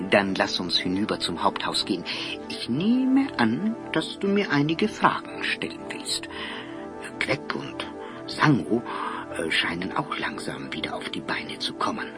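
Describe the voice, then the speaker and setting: Kindly mentor scholar, hesitant and theatrical, extremely animated lower voice, children's audio drama. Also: male voice, measured pace, music in the background, exaggerated voice. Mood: gentle.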